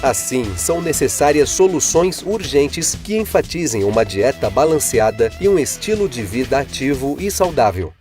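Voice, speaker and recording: A man reading a scripted health documentary narration in an even, formal way. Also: mid-range voice, moderate pace, hardly any pauses. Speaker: video narrator. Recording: narration over guitar music.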